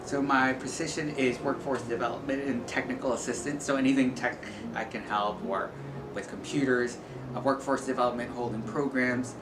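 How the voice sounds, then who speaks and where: A man's voice: medium pitch, fairly even intonation, moderate pace, noisy background. A man giving informal, candid interview answers in a hesitant, rephrasing way. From El Salvador until age seven, then New York state, US. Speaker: community center workforce and tech staffer, interviewee. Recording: echoey room with loud music bed. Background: loud music.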